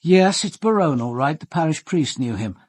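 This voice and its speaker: An actor playing a grave, authoritative senior police detective in a British radio drama, speaking in a measured, articulate British accent with dry understatement and a husky voice. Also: male voice, fairly high pitch, animated, no room sound. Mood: brisk, dry. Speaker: lead actor and narrator. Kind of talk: radio drama acting and narration.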